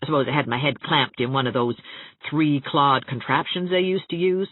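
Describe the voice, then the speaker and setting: Woman as a cheerful, husky young man, self-mocking. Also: extremely low pitch, normal speed, no echo, phone-quality sound. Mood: wry, chatty.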